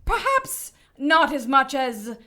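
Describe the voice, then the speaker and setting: An actress playing a stern, imperious cherub judge in an audio drama, pompous, formal and commanding. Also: female voice, high voice, sing-song, slow pace, heavy background noise, full character voice. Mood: judicial.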